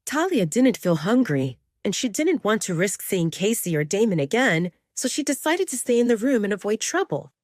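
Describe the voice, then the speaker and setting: A woman's voice: medium pitch, big pitch swings, moderate pace, few pauses, no echo. A woman reading scripted, dramatic third-person narration for a romance audio serial, recorded close in a dry space.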